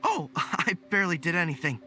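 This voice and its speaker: A modest, friendly young retainer from a video game. The voice is high for a man and bright, with very animated pitch and courteous phrasing. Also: brisk, soft background music, exaggerated voice.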